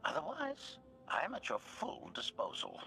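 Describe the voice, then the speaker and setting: Combat droid: formal, servile, menacing, hard bright metallic edge, wildly varied pitch, video game. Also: male voice, huge pitch swings, moderate pace, creaky, faint music behind, full character voice. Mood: formal, obedient. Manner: announces each statement type, formal and clipped. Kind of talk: video game character acting.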